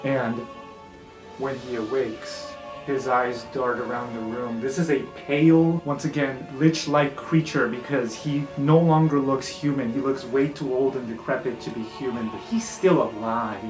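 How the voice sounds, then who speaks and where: A man narrating an improvised tabletop fantasy game as game master, in an excitable, dramatic way. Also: medium pitch, unhurried. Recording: roomy echo, distant, background music.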